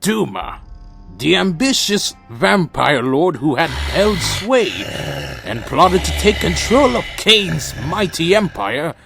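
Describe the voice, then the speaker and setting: A man plays a defiant vampire warrior of an audio drama, gravelly and high-strung in a raised voice. He delivers his tale slowly and gravely, with theatrical weight, over music. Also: big pitch swings, heavily acted. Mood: grave narration. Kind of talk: dramatic first-person narration and acted dialogue.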